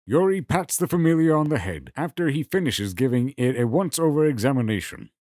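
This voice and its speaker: A man's voice: mid-range voice, big pitch swings, brisk, darkish tone, no echo, studio quality. A man narrating a scripted fantasy audio drama in the present tense.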